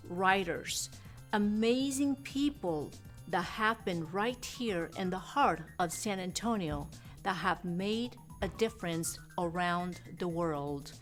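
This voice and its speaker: A woman's voice: fairly low pitch, expressive, takes it slowly, soft background music. A woman from Mexico hosting a studio TV interview, gushing with effusive praise for her guest. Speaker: TV host.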